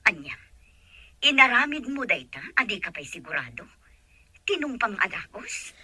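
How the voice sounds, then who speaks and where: A woman's voice: on the high side, very animated, normal speed, sharp tone, breathy edge, heavily acted. A woman with a Filipino accent acting a caring, determined mother in an audio drama. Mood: concerned.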